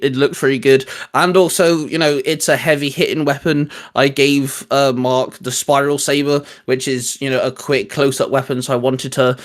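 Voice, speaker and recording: A man co-hosting a casual fan discussion, talking in a rambling, enthusiastic way. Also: mid-range voice. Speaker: co-host of a fan discussion show. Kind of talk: casual chat about geeky topics.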